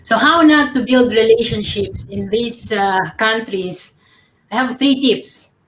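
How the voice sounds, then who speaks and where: A woman from the Philippines, speaking as a coach on a live panel. Her voice sits a little high and moves slowly and deliberately, with a dry ironic edge. The sound is roomy and echoey, as if caught on a call from a bare room. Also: fairly bright tone. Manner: slow, measured, ironic with small laughs. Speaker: professional coach, panel guest. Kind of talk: panel talk giving tips.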